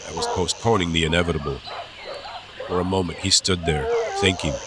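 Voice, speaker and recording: Male narrator for an adventure audiobook: low voice, suspenseful delivery, clear ambient sound effects behind. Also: fairly even intonation. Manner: suspenseful, deliberate phrasing. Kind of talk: scripted dramatic audiobook narration. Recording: clear background ambience noise.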